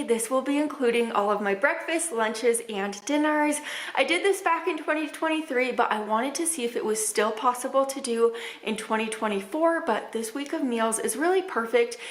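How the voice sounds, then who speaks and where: A woman's voice: high-pitched, animated, normal speed. A woman narrating her own budget cooking vlog in a scripted, upbeat, explanatory way.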